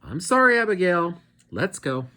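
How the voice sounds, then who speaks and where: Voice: mid-range voice, sing-song, measured pace, bright, forceful tone, voice pushed up. A storybook reader voicing an exacting male monster boss in an emphatic, schoolmasterly manner. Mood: disappointed.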